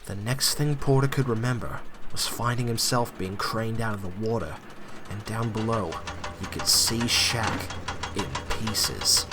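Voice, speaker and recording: Slightly low-voiced male story narrator. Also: a little slow, noisy background. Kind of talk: scripted dramatic story narration.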